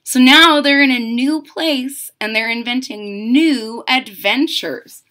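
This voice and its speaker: A middle-aged woman reading a picture book aloud to children, dramatic and deliberate, with simple explanatory asides. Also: high voice, sharp tone. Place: indoors, small home room.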